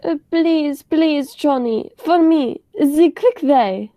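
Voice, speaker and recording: Young woman doing a squeamish nervous doctor accomplice, high mellow voice, radio play. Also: slow pace. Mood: pleading, nervous. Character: nervous, squeamish drinking doctor, a murderer's reluctant sidekick.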